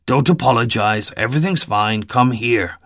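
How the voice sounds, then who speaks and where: A man voicing an adult man who has lost his memory, speaking calmly and reassuringly in a story reading. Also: medium pitch, normal speed, no room sound, clean studio sound, lightly acted. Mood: gentle.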